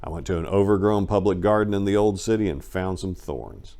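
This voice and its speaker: A man performing a dramatic reading of a first-person story, with theatrical, emphatic delivery and deliberate pauses. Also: deep voice, mellow. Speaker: host and story reader.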